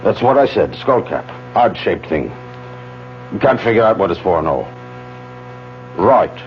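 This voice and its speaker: A muffled old radio recording with faint hum carries a plainspoken policeman. He reports in a low, widely swinging voice, matter-of-fact but puzzled. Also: sing-song, a little slow. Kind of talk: 1970s radio drama acting.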